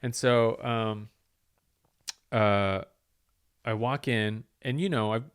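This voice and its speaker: A man's voice: mid-range voice, takes it slowly, clean tone. A man, a comedian, telling a rambling story in casual chat and acting out the quoted lines.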